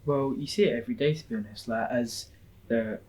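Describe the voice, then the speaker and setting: A young man, a student, speaking informally and relaxed on a panel. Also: mid-range voice, a little fast. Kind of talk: panel discussion. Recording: slightly dull sound.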